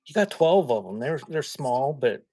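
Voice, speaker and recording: A man chatting casually in a folksy, halting way as he hosts a live sale and shows items. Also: mid-range voice, very animated, dark tone, no echo. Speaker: live auction seller hosting a stream. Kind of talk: casual rambling chat while showing items for sale.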